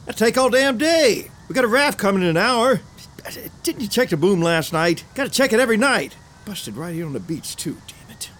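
A man playing a gruff, curt, commanding sawmill foreman in an 1890s frontier film drama, with ambient noise. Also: sits high, very animated, moderate pace, fairly bright tone. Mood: irritated. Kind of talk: dramatic film script acting.

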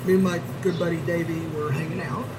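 A man's voice: on the high side, fairly even intonation, quick pace, recorded from a distance, noisy background. A man in his fifties telling loose, rambling stories in casual chat, full of fillers and restarts. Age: 50s. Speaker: podcast host telling stories.

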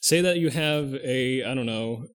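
A man's voice: mid-range voice, measured pace. A man co-hosting a podcast in casual chat, rambling and often stopping to correct himself mid-sentence. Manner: rambling, thinks aloud, restarts and self-corrects often. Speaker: podcast co-host.

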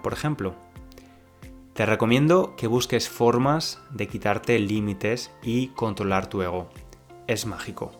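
A young man from Spain with a Spanish accent, hosting a podcast lesson for learners and speaking slowly and clearly like a teacher. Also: lowish voice. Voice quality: slow, clear teacher speech.

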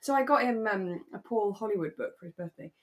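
A woman's voice: mid-range voice, expressive, fast. A woman co-hosting a podcast, chatting casually in an effusive, giggly manner about a radio drama. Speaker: podcast co-host.

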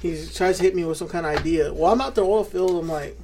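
A man's voice: a little high, quick pace, creaky, a little mellow, a little hiss or hum. A man co-hosting a podcast, chatting casually, laid-back and profane, saying "bro" constantly. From New Mexico. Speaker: podcast co-host. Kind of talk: casual chat.

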